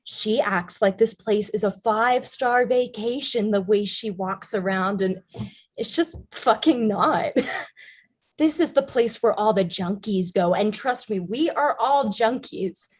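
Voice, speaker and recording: A woman's voice: mid-range voice, unhurried, husky. A woman playing a bitter, combative young woman in a treatment group in a staged play reading, blunt, sarcastic and profane. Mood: scornful, resentful.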